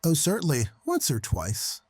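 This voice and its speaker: A man's voice: fairly high pitch, huge pitch swings, normal speed, breathy edge, heavily acted. An actor playing a folksy, wry hospital janitor who calls in and tells his story matter-of-factly in a horror audio drama. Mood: eager, cagey. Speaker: caller.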